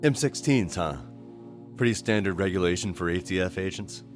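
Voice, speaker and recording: A man's voice: sits low, normal speed. A man taking part as a player in a tabletop role-playing game, talking casually and in character. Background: music.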